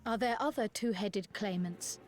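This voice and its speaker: A woman voicing a sensible young female adventurer in a video game, speaking in a composed, measured, dry way. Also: medium pitch, lightly acted. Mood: curious.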